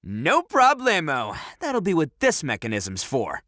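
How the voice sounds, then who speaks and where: Swaggering, animated young gang boss. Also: male voice, fairly high pitch, a little slow, no echo, clean studio sound, heavily acted. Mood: excited. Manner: cocky, exclamatory, swaggering. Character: brash, boastful, good-hearted young male gang boss. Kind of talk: video game voice acting.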